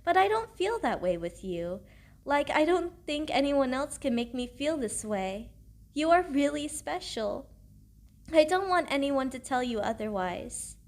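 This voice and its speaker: Woman doing a sweet young girlfriend roleplay, high voice, slow n gentle, earnest, kinda self-conscious, super affectionate. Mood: affectionate, shy. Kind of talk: intimate audio roleplay spoken to the listener.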